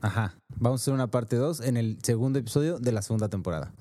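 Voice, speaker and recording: A man's voice: medium pitch, fast, warm tone. A man co-hosting a podcast, easygoing and chatty. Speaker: podcast co-host. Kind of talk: casual chat.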